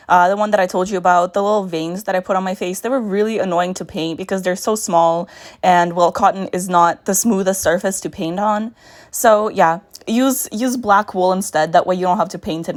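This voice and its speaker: A young woman with a raspy voice, narrating a makeup tutorial in a chatty voice-over with storytelling asides. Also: mid-range voice. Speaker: makeup vlogger.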